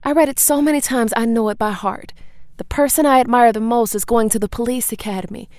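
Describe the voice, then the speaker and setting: An actress playing a small-town police chief, a torn older sister, firm and earnest, in an audio drama. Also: female voice, a little high, fairly even intonation, brisk, a little mellow, no echo, studio quality, close to an everyday voice. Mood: wistful, reproachful.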